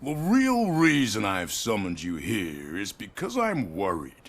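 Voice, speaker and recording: An actor playing an old corporate chief, courteous and weary, speaking in a measured, formal way with quiet control. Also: male voice, on the low side, wildly swooping pitch, heavily acted. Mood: measured, probing. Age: older. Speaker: company head. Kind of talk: video game dialogue acting.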